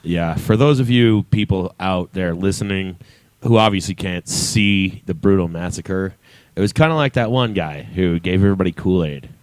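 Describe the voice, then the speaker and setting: A man's voice: low-pitched, moderate pace, no room sound. Joking male radio host, dry close sound. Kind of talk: casual studio banter.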